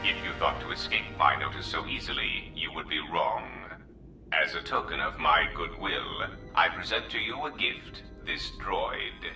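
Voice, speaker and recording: An actor voicing a cold, menacing droid crime lord in a video game, formal and calculating, with a gravelly, robotic voice. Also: male voice, very animated, normal speed. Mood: imperious, measured. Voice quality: very deep, gravelly. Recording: distant echoing voice under music.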